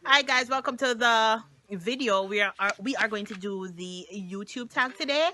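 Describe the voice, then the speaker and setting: Jamaican woman, late twenties, bright forceful chatty vlog host, laughs a lot. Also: fairly high pitch, normal speed. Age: 20s. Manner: laughs often, Patois-mixed chatter. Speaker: YouTuber co-host. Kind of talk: casual chat.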